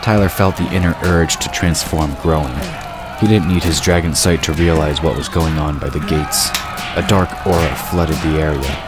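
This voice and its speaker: A man narrating a scripted fantasy story as the storyteller. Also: a bass voice. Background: loud music.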